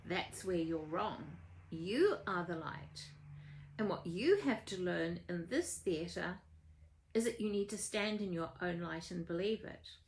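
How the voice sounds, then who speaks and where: A woman's voice: lowish voice, measured pace, a little mellow, poor audio. A New woman voicing a mysterious, assured celestial woman who guides and encourages insistently in a spoken parable. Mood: earnest, reassuring.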